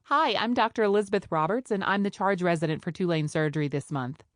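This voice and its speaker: A woman's voice: lowish voice, fast, no echo, clean studio sound, natural voice. A woman voicing a polite, prim young woman doctor with a Charleston accent who speaks formally.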